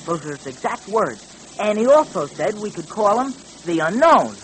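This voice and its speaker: An actor playing an earnest, excitable teenage boy sidekick in 1940s radio drama style. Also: mid-range voice, normal speed, lightly acted. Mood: eager. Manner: earnest, eager, exclamatory. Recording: faintly noisy old radio recording.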